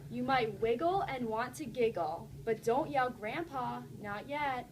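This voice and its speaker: Female primary teacher, high voice, encouraging interactive story reading for kids, recorded in a noisy room. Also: lively intonation, a little slow. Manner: encouraging, instructive, addresses children directly. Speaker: teacher reading aloud to children. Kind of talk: interactive storybook read-aloud with questions to a class.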